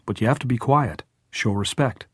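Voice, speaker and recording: A man's voice: medium pitch, moderate pace, slightly rough, dry recording, close to an everyday voice. A man playing a wry, practical man with a dry manner in an audiobook. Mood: quiet, firm. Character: wry, practical man, gently weary, mildly profane.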